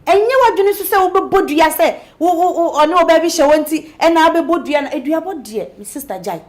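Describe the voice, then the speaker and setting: An actress with a Ghanaian accent playing a loyal friend who tries to calm and advise. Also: female voice, on the high side, normal speed, roomy sound. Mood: urging. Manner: soothing, earnest. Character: loyal female friend, calming and practical.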